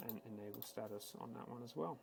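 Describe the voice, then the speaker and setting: A man giving a casual, matter-of-fact, step-by-step software walkthrough, speaking unscripted like a tech support instructor. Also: medium pitch, fast talker, creaky, music in the background. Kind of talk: screen-recorded software tutorial, unscripted walkthrough.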